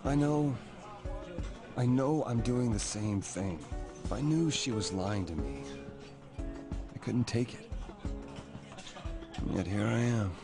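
A man's voice: mid-range voice, expressive, slow pace, long pauses. A man voicing an anxious, evasive young boyfriend in a video game cutscene, hesitant, stammering and flustered. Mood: dejected. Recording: loud music under dialogue.

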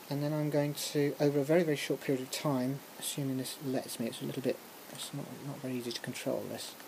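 Informal tutorial lecturer, mid-pitched, halting and self-interrupting, muttering asides, noisy recording. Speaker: music technology lecturer. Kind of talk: informal software tutorial, talking through a demonstration. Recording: clearly noisy recording with room ambience.

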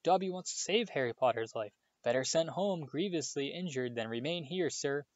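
A man doing a tiny, trembling male house elf from a storybook reading, squeaky and high, begging and fretting and tacking sir onto everything. His pitch leaps about nervously. The sound is slightly dull and dry. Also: expressive, normal speed, no room sound, close to an everyday voice. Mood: pleading. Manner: squeaky, pleading, keeps saying sir. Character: small frightened male house elf, servile, tearful.

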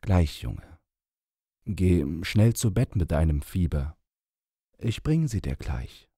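A man voicing a clumsy, apologetic father who pleads with his son in a warm tone. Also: deep voice, very animated, takes it slowly, breathy edge, no room sound, clean studio sound, exaggerated voice.

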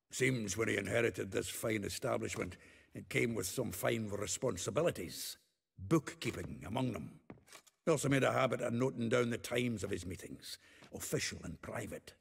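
Gravelly Scottish dwarf. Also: male voice, lowish voice, full character voice. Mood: wry, amused. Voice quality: raspy, gravelly. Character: gruff, foul-mouthed, good-natured male dwarf. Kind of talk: video game dialogue.

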